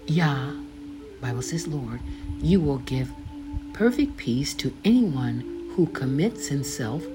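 An older woman reading her own faith memoir aloud, slowly and deliberately, pausing to gloss the names in scripture. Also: low-pitched. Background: background music.

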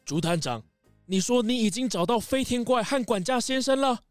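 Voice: medium pitch, expressive, normal speed, faint music behind, natural voice. An actor voicing a fretful, indignant castle owner with a demanding, flustered manner in a children's audio drama. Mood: questioning.

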